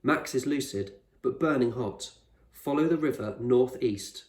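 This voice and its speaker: A man voicing an eccentric, proud male explorer in a storybook, speaking with measured authority and reassurance. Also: medium pitch, a little mellow, natural voice. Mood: firm, instructive. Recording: roomy echo, distant microphone.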